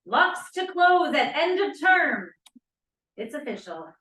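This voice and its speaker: A woman's voice: high voice, big pitch swings, slow pace, sharp tone, full character voice. A woman playing dramatic, suspicious and brash college students in an informal script table read. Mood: alarmed, dramatic. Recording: echoey room, distant mic.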